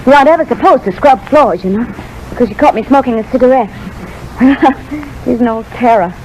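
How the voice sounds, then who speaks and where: An actress playing a frank, spirited young student nurse in a 1930s radio drama, plain-spoken and self-deprecating. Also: female voice, fairly high pitch, a little fast, darkish tone, a little hiss or hum, close to an everyday voice. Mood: grumbling, cheeky. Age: young adult. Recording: muffled 1930s radio broadcast recording.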